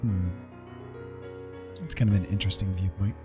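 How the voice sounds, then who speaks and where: 낮은 목소리의 느긋한 남성 스트리머. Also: male voice, low-pitched, fairly even intonation, normal speed. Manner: laid-back, chuckling, thinking aloud. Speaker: streamer, digital painter. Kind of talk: casual livestream chat while painting. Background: piano music.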